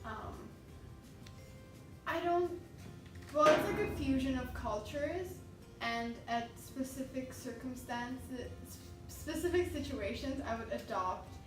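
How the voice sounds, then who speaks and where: A young woman with a slightly high voice answers hesitantly and corrects herself mid-sentence. She sits well back from the microphone in an echoey room. Music is clearly audible behind her. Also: slow. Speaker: interviewee. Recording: distant mic, echoey room.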